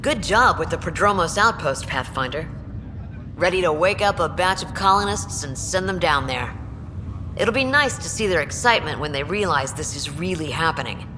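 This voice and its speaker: An actress playing a friendly, encouraging colony officer in game dialogue. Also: female voice, low-pitched, lively intonation, normal speed. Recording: long hall reverb with music behind.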